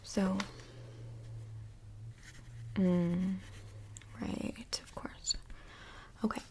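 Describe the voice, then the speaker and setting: A woman playing a calm, reassuring couples' counsellor in a soft-spoken, breathy, mostly whispered ASMR role play, gentle and measured. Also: mid-range voice. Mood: calm, explaining.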